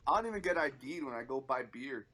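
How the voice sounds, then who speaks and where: A man's voice: mid-range voice, expressive, fast, pushed and bright. A man around thirty chatting casually about sports on a podcast, informal and emphatic in his banter. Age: 30s.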